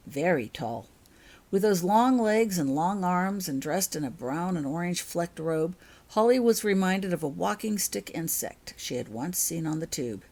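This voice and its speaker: A woman's voice: lowish voice, a little mellow. A woman reading her own fiction aloud as an audiobook-style story narration.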